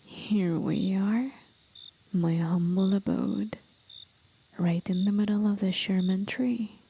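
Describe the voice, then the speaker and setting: A woman voicing a gentle, aged, mysterious demon of the forest in an intimate, soothing, breathy half-whisper for an ASMR roleplay. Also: mid-range voice, steady tone, slow, a little hiss or hum. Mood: tender, hushed.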